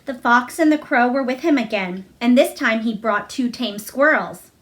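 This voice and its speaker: A woman's voice: a little high, lively intonation, normal speed, fairly bright tone. A woman reading a children's storybook aloud as its narrator. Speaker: storybook reader.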